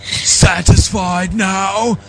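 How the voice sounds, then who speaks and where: A man's voice: high voice, steady tone, extremely slow, music in the background, pitched above the performer's own voice, heavily acted. A man voicing a scornful alien warrior prince and father, haughty and barking, in an amateur comic reading. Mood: smug. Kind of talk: amateur comic dramatic reading of fan fiction.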